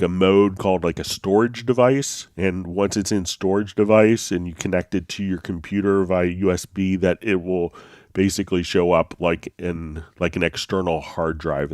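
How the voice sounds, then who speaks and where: A man hosting a live software tutorial stream, sounding a little congested from a slight cold, talking in an easygoing way with frequent fillers and restarts. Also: deep voice, dry recording, studio quality. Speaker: host.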